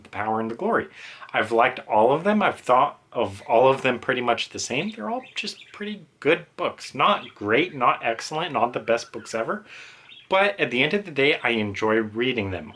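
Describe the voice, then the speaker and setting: A man's voice: medium pitch, bright, forceful tone. A man giving a casual, unscripted book review in a conversational, slightly hesitant and self-deprecating way.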